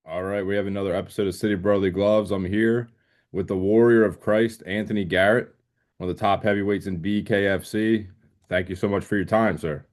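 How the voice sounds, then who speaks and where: A man from Philadelphia hosts a relaxed interview. His voice sits low and deep, and he jokes and presses with quick follow-up questions. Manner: friendly, joking, eager follow-up questions. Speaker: podcast host. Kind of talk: casual interview chat.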